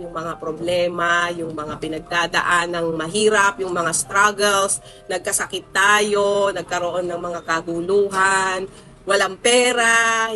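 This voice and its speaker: Filipino female, 40s, teacher-vlogger. Bright mid-pitched voice, casual emphatic storytelling over background music. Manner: chatty, conversational, emphatic with drawn-out words. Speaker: social science teacher and content creator. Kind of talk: casual storytelling vlog to followers.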